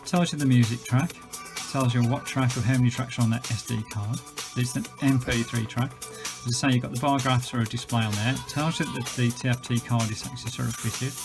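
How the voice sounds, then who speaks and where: Man, British accent, gravelly fry, mid-pitched, informal hands-on review style, slightly hesitant delivery. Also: steady tone, fairly quick, music in the background. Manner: chatty, informal, hesitant while demonstrating. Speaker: gadget reviewer and video host. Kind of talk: casual unscripted product demonstration.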